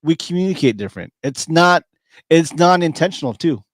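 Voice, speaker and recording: A man's voice: fairly high pitch, expressive, fairly quick, dry recording, clean studio sound. A man chatting casually in a group as a podcast co-host, using slang and repeating phrases for emphasis. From grew up on military communities in Asia and California.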